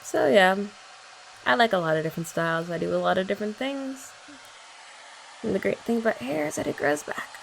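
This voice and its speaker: Playful woman with a gravelly, lively voice. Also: mid-range voice, a little fast, long pauses, a little hiss or hum, low-quality recording. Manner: playful, teasing, chatty with frequent laughs. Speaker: ASMR roleplay creator as girlfriend. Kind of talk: ASMR girlfriend roleplay chat.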